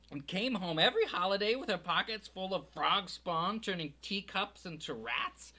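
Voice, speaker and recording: A man voicing a shrill, resentful middle-aged woman who rants on the edge of tears in an audiobook reading. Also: bright, forceful tone, breathy edge, exaggerated voice. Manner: shrieking, ranting.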